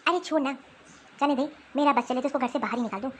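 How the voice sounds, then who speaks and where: High female voice, woman acting as harsh older mother-in-law, scolding, disdainful, cartoon drama, faint noise. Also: fast, fairly bright tone. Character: harsh, domineering older mother-in-law who bullies her daughter-in-law.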